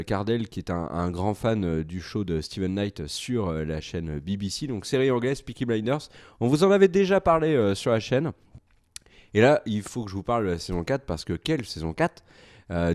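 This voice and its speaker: A man's voice: lowish voice, darkish tone. A man with a French accent giving a solo series review, hesitant, with frequent fillers and restarts. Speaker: video reviewer. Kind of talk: solo casual review to camera.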